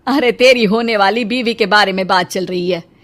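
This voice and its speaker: A woman's voice: mid-range voice, normal speed, fairly bright tone, lightly acted. A woman voicing a middle-aged, anxious mother-in-law in a cartoon, with a dramatic, complaining manner. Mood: mocking.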